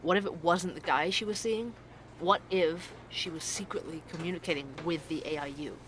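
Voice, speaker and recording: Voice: mid-range voice, moderate pace, fairly bright tone, a little hiss or hum, close to an everyday voice. An actor playing an inquisitive young podcast host who investigates mysteries, in an audio drama. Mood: musing, curious. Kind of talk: audio drama dialogue and narration.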